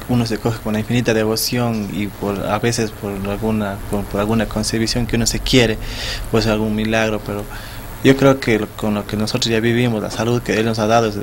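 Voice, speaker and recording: A young man with an Ecuadorian Spanish accent answering questions in a small TV studio, talking haltingly with many restarts and repeated words. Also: on the low side, slight background noise. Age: young adult. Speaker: festival sponsor guest. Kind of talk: studio interview. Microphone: desk mic on stand.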